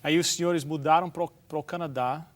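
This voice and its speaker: A man's voice: on the high side, fairly even intonation, moderate pace. Man interviewing with friendly prompts. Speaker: interviewer, pastor. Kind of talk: television interview.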